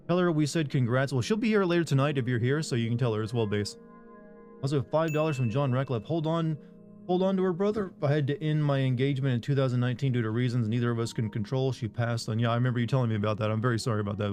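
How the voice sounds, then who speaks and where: A man's voice: mid-range voice, a little mellow. Regular guy streamer, mid-range voice, jokes at his own expense while reading chat; faint music bed. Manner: rambling, self-deprecating joking with chat. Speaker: game streamer. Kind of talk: casual live-stream chat, reading out viewer messages.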